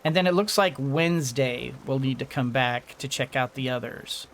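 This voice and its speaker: A man's voice: medium pitch, moderate pace, fairly bright tone, slight background noise. A man giving casual let's-play commentary, rambling along and thinking aloud as he goes. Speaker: gaming video host.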